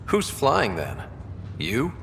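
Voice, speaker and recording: An actor playing a young male space explorer in a video game, wry and warm, given to dry banter. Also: mid-range voice, huge pitch swings, very slow, gravelly, a little hiss or hum, full character voice. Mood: dry, easygoing.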